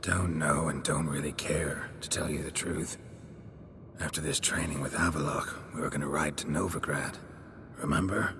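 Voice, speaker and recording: An actor playing a gruff, laconic male monster hunter with a gravelly, very deep voice in a game cutscene. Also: steady tone, normal speed, background noise. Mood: dry. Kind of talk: video game cutscene acting.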